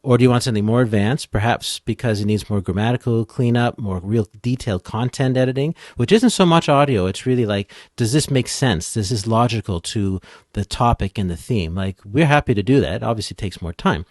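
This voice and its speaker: A man's voice: mid-range voice, brisk, a little mellow, dry recording, clean studio sound. A man speaking as an expert podcast guest, explaining things in an easygoing, casual conversational way. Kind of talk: casual interview chat.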